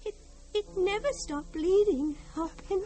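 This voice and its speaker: A woman's voice: very high voice, a crowd in the background. An actress playing a haunted, fragile older mother in an old-time radio drama, theatrical, pleading and unhinged. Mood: unhinged, morbid. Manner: theatrical, wheedling, drawn-out pleading.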